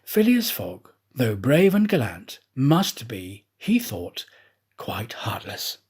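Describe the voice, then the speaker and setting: Animated, husky male storyteller. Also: mid-range voice, slow. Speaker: audiobook reader, professional actor. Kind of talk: scripted storybook narration.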